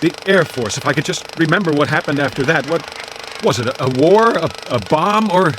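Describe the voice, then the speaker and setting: An American actor in a radio drama playing a confused, lonely amnesiac Air Force man who talks to himself in halting, stammering repeats. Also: on the high side, animated, background noise, lightly acted. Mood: bewildered. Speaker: lead actor. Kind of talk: dramatic radio play acting.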